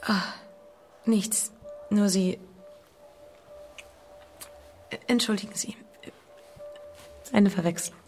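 Verängstigte, schuldbewusste Ehefrau im Hörspiel. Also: female voice, mid-range voice, steady tone, takes it slowly. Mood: startled, flustered. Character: anxious, guilt-ridden adulterous married woman. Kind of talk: radio drama acting. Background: music.

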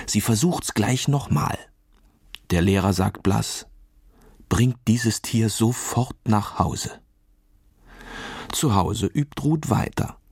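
A man narrating a scripted children's story in a measured, expressive storytelling manner. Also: lowish voice, breathy edge, no echo, studio quality.

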